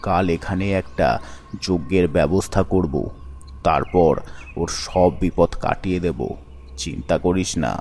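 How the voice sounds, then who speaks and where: A man voicing an authoritative guru in an animated horror story, giving solemn instructions over music. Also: low-pitched, measured pace, a little hiss or hum. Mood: solemn, commanding. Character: commanding male guru holy man, ritual-performing tantric. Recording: music under the voice.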